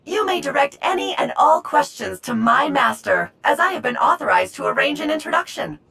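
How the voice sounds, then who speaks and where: A woman's voice: sits high, expressive, brisk, creaky, pushed and bright, heavily acted, rough recording. A woman voicing a chirpy, polite servant herald in a video game, with effusive, formal, flowery speech. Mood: eager, deferential. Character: chirpy, polite, excitable servant herald spreading gossip of a legend.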